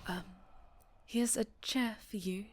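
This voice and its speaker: A woman's voice: mid-range voice, slow, voice pushed up. Timid, hesitant underling played by a woman. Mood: nervous, meek. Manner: hesitant, meek, apologetic. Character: timid, nervous injured underling of a domineering superhero. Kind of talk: dramatic ASMR roleplay.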